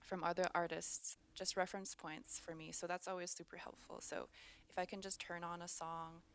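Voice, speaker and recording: A soft-spoken woman from Canada, a musician chatting casually over a video call, relaxed and rambling with lots of fillers. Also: lowish voice, normal speed, a little hiss or hum. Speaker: musician guest. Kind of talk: casual interview chat.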